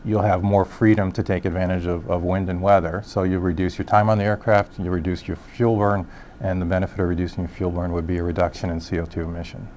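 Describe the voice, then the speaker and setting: Man, low voice, expert interview answers, halting explanations, faint background noise. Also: little pitch movement, darkish tone. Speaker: industry expert, solutions director.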